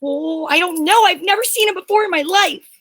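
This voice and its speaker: A woman's voice: extremely high pitch, fairly quick, a bit bright. A woman playing a defensive, indignant female murder suspect, flustered and exclamatory, in old-time radio mystery style.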